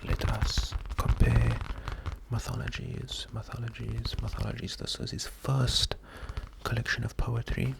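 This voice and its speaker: A man doing a slow, soft-spoken ASMR poetry reading, gentle and calming, half-whispered with a breathy, creaky voice. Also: medium pitch, noisy background. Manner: gentle, unhurried, calming, often repeating words. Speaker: ASMR host.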